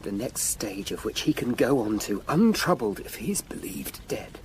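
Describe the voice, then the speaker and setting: An actor playing an anxious, sharp-minded Tudor lawyer investigating crimes in a period radio drama. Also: male voice, on the low side, very animated, normal speed, noisy background. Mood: urgent, deducing.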